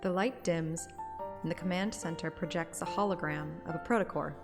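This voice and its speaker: A woman's voice: on the low side, normal speed. A woman streaming a story game, moving between playful, self-mocking chat and dramatic read-aloud narration. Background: game music.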